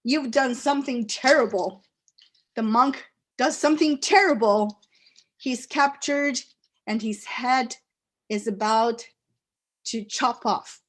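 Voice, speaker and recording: Chinese-accented woman poet reading slow, kinda high voice, careful pauses. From China. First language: Chinese dialect. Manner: slow, deliberate phrasing with pauses. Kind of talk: poetry reading. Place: live reading event.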